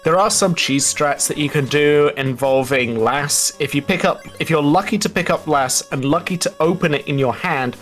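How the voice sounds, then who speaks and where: A man's voice: a little high, normal speed, few pauses, a bit bright, faint music behind. A man giving casual live commentary on a video game speedrun race.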